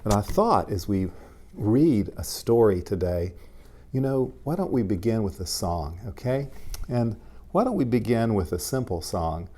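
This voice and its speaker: A man speaks slowly in a low, mellow voice. He reads a picture book to small children as their pastor, gently and simply, speaking straight to them. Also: lively intonation. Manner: gentle, simple child-directed talk with frequent questions. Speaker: pastor reading stories to children. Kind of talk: read-aloud storytime for young children.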